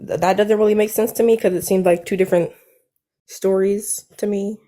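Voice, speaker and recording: A woman in her twenties calling into a live stream, speaking softly and hesitantly with a youthful-sounding voice. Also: medium pitch, steady tone, a little fast. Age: 20s. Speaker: caller. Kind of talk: casual live stream conversation.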